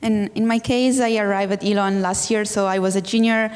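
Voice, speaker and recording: Halting young woman from Italy. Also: mid-range voice, fairly even intonation, darkish tone, clear, resonant tone. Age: 20s. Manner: halting, searching for words. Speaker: student panelist. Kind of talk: panel discussion. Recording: long hall reverb.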